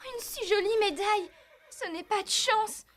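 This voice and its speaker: A lively, cheerful teenage ranch girl from a cartoon. Her voice sits very high and sounds bright and clear, full of expression. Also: measured pace, a little hiss or hum. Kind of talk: cartoon dub voice acting.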